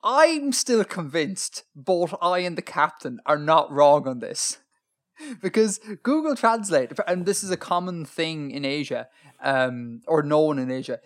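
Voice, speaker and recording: A man co-hosting a podcast in casual chat, talkative and self-deprecating, with plenty of ums. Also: fairly high pitch. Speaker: podcast co-host.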